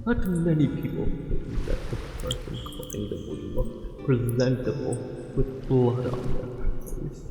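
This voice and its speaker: A man voicing a tender, possessive merman for an ASMR romance roleplay, speaking formally and haltingly with a breathy delivery. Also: medium pitch, expressive. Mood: amused, intrigued. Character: lovesick possessive angler merman, courtly and tender. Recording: very echoey, long reverb, music behind.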